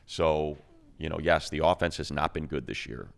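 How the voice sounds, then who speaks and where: A man's voice: rumbling low, moderate pace, creaky. A man co-hosting a sports show, giving analysis in a casual conversational way.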